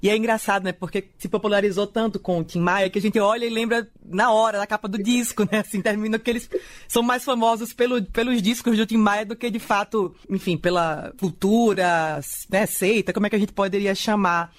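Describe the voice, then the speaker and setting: Woman, podcast host, casual, curious questions, medium pitch. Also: fairly even intonation, brisk. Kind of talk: interviewing, casual chat.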